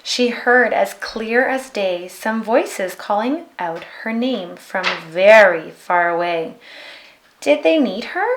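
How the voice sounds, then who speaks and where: A woman reading a children's picture book aloud with expressive narration. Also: medium pitch, slow pace, a little hiss or hum. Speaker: storybook reader.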